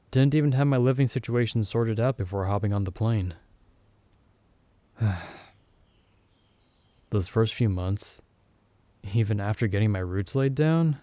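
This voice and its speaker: A man playing a caring, reassuring young male friend in an ASMR comfort roleplay, speaking gently with hesitant, soothing pauses. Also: fairly low pitch, no room sound. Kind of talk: ASMR comfort roleplay, intimate acted monologue.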